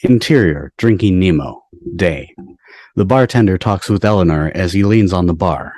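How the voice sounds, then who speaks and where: Low-voiced man narrating a script. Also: animated, normal speed, a little mellow, no echo, studio quality. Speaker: stage-direction narrator. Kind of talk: scripted narration at a table read. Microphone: video call.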